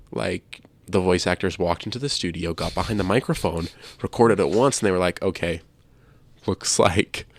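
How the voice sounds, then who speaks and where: A man's voice: low voice, creaky, no echo. A man co-hosting a casual discussion, making his points with some emphasis and repetition. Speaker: podcast co-host. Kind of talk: casual discussion of video games.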